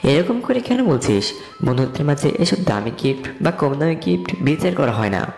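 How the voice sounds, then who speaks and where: A man's voice: on the high side, big pitch swings, normal speed, darkish tone. A man playing a chummy, teasing young college friend in an audio story. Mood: reassuring. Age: young adult. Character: teasing, warm-hearted young college buddy, male. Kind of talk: dramatised audio story acting. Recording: echoey reverb with music behind.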